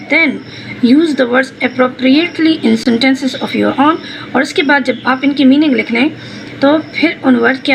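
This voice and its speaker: High, creaky-voiced Pakistani woman teaching. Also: low-quality recording. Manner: switches between Urdu explanation and slowly read English phrases. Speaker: teacher explaining textbook exercises. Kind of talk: instructional exercise walkthrough, spelling out words. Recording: noticeable background noise.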